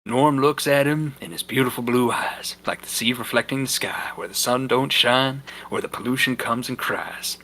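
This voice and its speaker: A man's voice: mid-range voice, normal speed, few pauses, pushed and bright. A man giving an amateur, dramatic comic reading of a story as narrator, stumbling now and then. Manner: stumbling, breaks into amused asides. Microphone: voice chat on a computer or headset mic.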